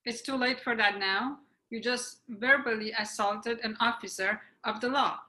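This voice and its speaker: A woman's voice: fairly high pitch, moderate pace, sharp tone, poor audio. A woman voicing a police officer giving firm orders in a script reading. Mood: stern. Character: stern police officer on ferry. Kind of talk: readers theatre script reading.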